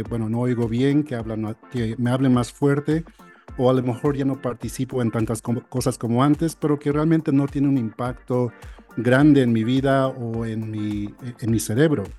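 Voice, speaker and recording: American guy speaking spanish, mellow low-key tone, lots of restarts and ums, faint music under it. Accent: American English. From United States. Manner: halting, frequent restarts and fillers. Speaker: audiologist guest. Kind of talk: interview, explaining.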